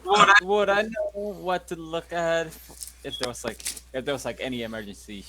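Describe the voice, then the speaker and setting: A man's voice: fairly high pitch, normal speed, sharp tone. A man playing a tabletop role-playing game, joking casually with his group. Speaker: player.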